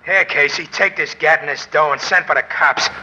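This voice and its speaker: A man playing a bullying small-time gangster with gruff, slangy street talk in a 1940s radio crime drama. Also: a little high, moderate pace, bright, forceful tone, muffled recording. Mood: defeated, giving up. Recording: noisy old 1940s radio recording.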